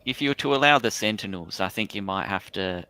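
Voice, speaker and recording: A man's voice: on the low side, lively intonation, brisk, bright, forceful tone, clean tone, no echo. A man talking casually as a panelist on a hobby livestream.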